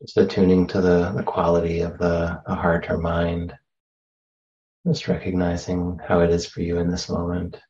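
Guy leading a meditation, really low even voice, gentle and unhurried, kinda muffled recording. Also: a little hiss or hum. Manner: gentle, unhurried, with long pauses between phrases. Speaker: meditation teacher. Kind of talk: guided meditation.

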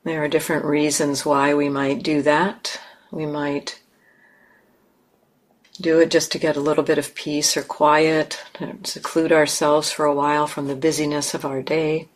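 A woman leading a guided meditation in measured, instructive phrases with long pauses. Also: low-pitched, flat delivery. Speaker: meditation teacher.

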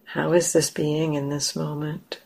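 Slow, creaky-voiced woman guiding meditation. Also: sits low, flat delivery, low-quality recording. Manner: gentle, unhurried with long pauses. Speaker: meditation teacher. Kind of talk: guided meditation.

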